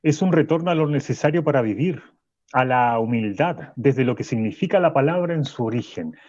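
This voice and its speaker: An actor playing an earnest, reflective speaker in a radio drama, talking slowly. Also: male voice, mid-range voice, expressive, darkish tone, no echo, lightly acted. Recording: dull, lo-fi sound.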